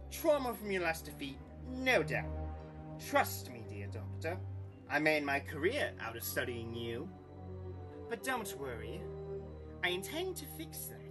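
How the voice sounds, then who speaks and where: A woman voicing a smug, fanatical male mad scientist in a comic dub, silky, condescending and theatrical. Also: lowish voice. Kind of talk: comic dub villain acting. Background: loud music.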